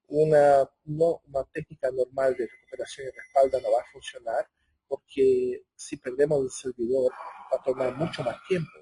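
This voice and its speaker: A middle-aged man with a Portuguese-tinged accent presents a technical webinar, his voice very raspy and dark in tone. The sound is dry and close. He talks conversationally and often restarts words mid-phrase. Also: medium pitch, no echo. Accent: Brazilian Portuguese-tinged Spanish. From Chile. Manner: conversational, many restarts and self-corrections. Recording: muffled, hoarse-sounding office audio.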